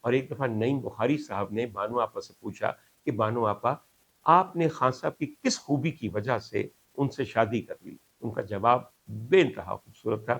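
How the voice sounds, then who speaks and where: A man's voice: mid-range voice, measured pace, gravelly, fairly bright tone, slightly rough. A middle-aged or older man with a Pakistani accent, telling stories to viewers in a courtly, rhetorical, dramatic way as a host. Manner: rhetorical, courtly and dramatic phrasing, switches into English and Punjabi. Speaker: host, doctor. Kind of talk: storytelling monologue to viewers.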